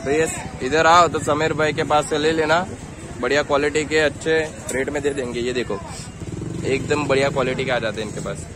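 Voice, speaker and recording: A man's voice: a little high, fairly even intonation, fairly quick. A young man from India vlogging casually as he walks around an outdoor market, asking sellers about prices and haggling as he goes. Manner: chatty, haggling with sellers while walking. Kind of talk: casual walking market vlog. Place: busy outdoor street market. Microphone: handheld phone camera. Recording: noisy outdoor street recording. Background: crowd chatter and passing traffic.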